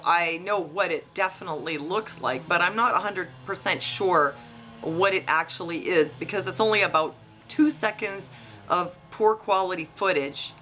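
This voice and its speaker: A woman's voice: on the low side, pushed and bright. A woman giving informal investigative commentary to online viewers in a conversational, questioning way. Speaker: online video host. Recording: noisy, buzzing tree-cutting outside. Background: buzzing from tree cutting outdoors.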